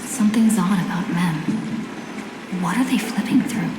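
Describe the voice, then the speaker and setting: A woman's voice: lowish voice, normal speed, close to an everyday voice. Woman acting a curious game heroine, echoey. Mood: suspicious. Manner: musing to herself. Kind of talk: video game character acting. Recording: echoey, music behind.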